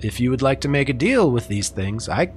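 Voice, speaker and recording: A man's voice: medium pitch, big pitch swings, fairly quick, faint music behind, close to an everyday voice. A man voicing a boastful village mechanic who speaks broken English. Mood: eager.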